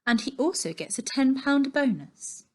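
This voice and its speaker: A firm storybook aunt, acted by a woman reading aloud. She is bossy and brisk, with a commanding way of talking. There is kindness beneath her no-nonsense orders. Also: high-pitched, lively intonation, darkish tone, pitched above the performer's own voice.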